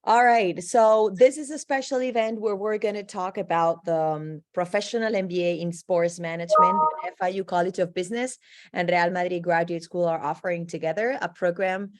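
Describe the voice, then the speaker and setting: A woman's voice: fairly low pitch, fairly even intonation, brisk, slight background noise. A woman hosting a graduate program webinar over a video call, explaining at length with frequent um fillers. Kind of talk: informational presentation on a video call.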